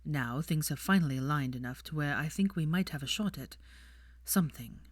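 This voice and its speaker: A woman voicing a calm, sardonic young aristocratic man in an audiobook story, quiet and matter-of-fact. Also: low-pitched, brisk, no echo, clean studio sound. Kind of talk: audiobook character voice.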